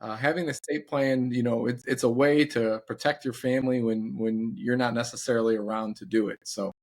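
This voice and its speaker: A man of forty giving advice in a casual podcast discussion, plain-spoken and measured with some halting phrasing. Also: mid-range voice, steady tone.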